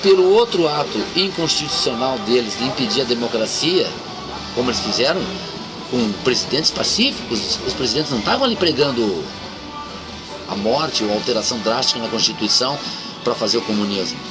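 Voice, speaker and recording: A man's voice: medium pitch, animated, fairly quick, low-quality recording. A man in a casual, reflective and opinionated political discussion. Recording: very loud music and vehicle noise.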